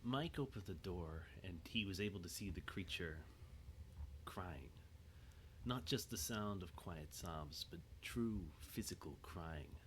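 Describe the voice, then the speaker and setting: A man's voice: deep voice, expressive, takes it slowly, fairly bright tone, a little hiss or hum, rough recording. A man reading scripted, dramatic narration for an audio drama.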